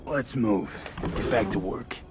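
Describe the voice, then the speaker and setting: A tough young merc in a video game. He has a low voice and a blunt, wry, slangy way of talking, with music playing clearly behind him. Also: slow pace. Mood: calm. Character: tough, wry young mercenary man who is dying.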